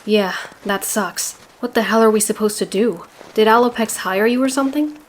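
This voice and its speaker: A woman's voice: fairly high pitch, moderate pace, slight background noise, close to an everyday voice. A woman voicing a tough, sardonic young female ninja turtle in a comic dub, speaking in a dry, casual way.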